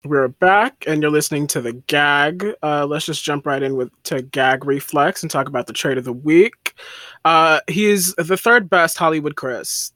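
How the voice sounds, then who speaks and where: A man with a high, bright voice co-hosts a casual podcast. He rambles through his stories with plenty of "um" and "like". The sound is dry and close. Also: normal speed, no room sound. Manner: chatty, rambling with many fillers. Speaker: podcast co-host.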